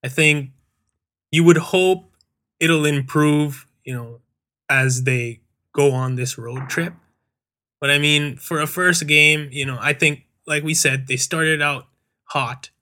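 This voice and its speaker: A man hosting a soccer discussion, giving measured, hedging analysis. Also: fairly high pitch, fairly even intonation, pushed and bright. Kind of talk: casual chat.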